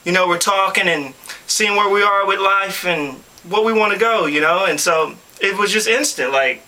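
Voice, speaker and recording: A young man from Louisville, Kentucky, telling a story in a casual, animated way, with slang and frequent laughs. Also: sits high, moderate pace, rough recording. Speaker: audition video co-presenter.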